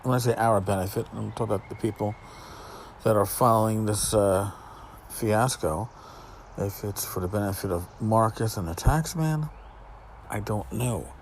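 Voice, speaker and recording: A man's voice: on the low side, normal speed. A man hosting a podcast alone, talking casually in a rambling, exasperated, sarcastic way. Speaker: podcast host. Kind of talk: casual solo commentary, reading out emails. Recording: noticeable background noise.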